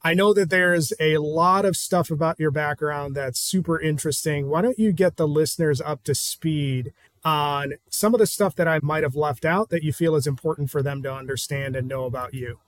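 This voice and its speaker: A man hosting a podcast, friendly and easygoing as he puts questions to his guest. His voice sits a little higher than most men's. The recording is dry, with no room sound. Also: normal speed, no echo. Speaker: podcast host. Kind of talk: interviewing a guest.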